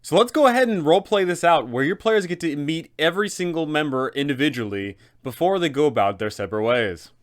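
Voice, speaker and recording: A man's voice: fairly high pitch, lively intonation, fairly quick, few pauses. A man hosting a guide for game masters, explaining how to run a fantasy role-playing campaign. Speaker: host, game master giving advice. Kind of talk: explanatory narration with role-play advice.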